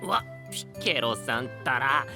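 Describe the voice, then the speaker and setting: A man playing a polite, playful young senior disciple in an anime voice drama, proud and gently teasing. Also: mid-range voice, lively intonation, a little slow, gravelly, music in the background.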